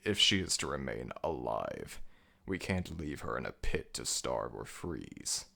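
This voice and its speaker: A man playing a commanding, brooding ancient jackal god of the dead in an ASMR audio roleplay, with a gravelly, very deep voice and a slow, imperious delivery. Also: sing-song, pushed and bright, exaggerated voice. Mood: grave, explanatory.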